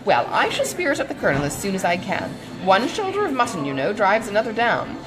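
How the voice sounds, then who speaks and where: A woman's voice: medium pitch, wildly swooping pitch, quick pace, poor audio. A woman voicing a chatty, good-natured older matron in a storybook, gushing and rambling with many exclamations. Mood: gleeful, rambling.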